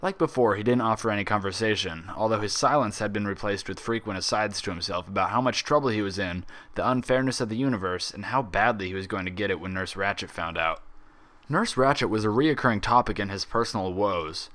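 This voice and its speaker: A man's voice: lowish voice, fairly quick, fairly bright tone. A man reading a story aloud as narrator, in a scripted, dramatic style. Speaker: fan fiction reader and narrator.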